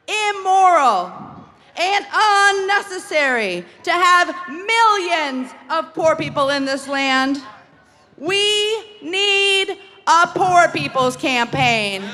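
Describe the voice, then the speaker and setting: A woman delivering a protest speech, slow and emphatic. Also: very high voice, bright, forceful tone. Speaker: speaker.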